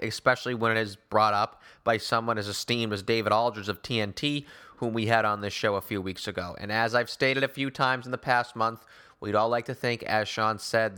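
A man hosting a sports talk show, giving opinion in an emphatic, wordy, deliberate way. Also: medium pitch, sharp tone, clean tone. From Newton, Massachusetts, United States. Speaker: sports podcast host.

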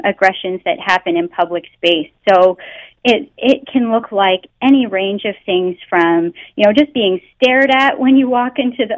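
A Canadian woman talking earnestly down a muffled phone line, her voice dry and close. She leans on many "you know"s and "um"s as she explains. Her pitch sits at an ordinary middle height. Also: dry recording. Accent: Canadian English. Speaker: guest researcher. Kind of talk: phone interview. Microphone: telephone.

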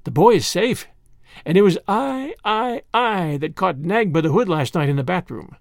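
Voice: mid-range voice, expressive, moderate pace, gravelly, no room sound, studio quality. A narrator voicing a fierce, taunting male mongoose fighter in a cocky, challenging way, for a storybook. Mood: boastful, fierce.

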